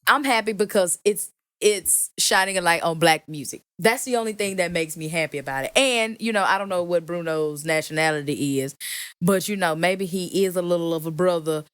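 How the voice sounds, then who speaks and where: Woman, singer-songwriter guest; deep voice, emphatic and playful, dry close recording. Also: a little fast, clean tone, dry recording. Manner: animated, emphatic, playful teasing. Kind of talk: casual interview chat.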